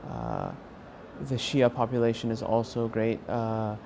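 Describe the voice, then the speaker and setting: A young man with an American accent giving a personal testimony in an earnest, halting way with pauses. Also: on the low side, sing-song, vocal fry, darkish tone, a little hiss or hum. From California, United States. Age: young adult. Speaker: university student interviewed as a pilgrim.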